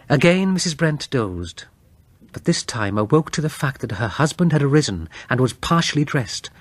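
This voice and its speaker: A man reads a Gothic horror story as an audiobook narrator. His mid-pitched voice is recorded dry and close, and he builds suspense with measured phrasing and dramatic pauses. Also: husky, no room sound.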